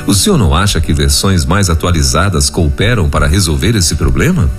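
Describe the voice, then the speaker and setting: Jovial male radio host with a low voice and lively swings in pitch, on a radio broadcast with faint music in the background. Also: a little fast. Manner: jovial, laughs often, reads listener questions. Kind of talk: live radio chat.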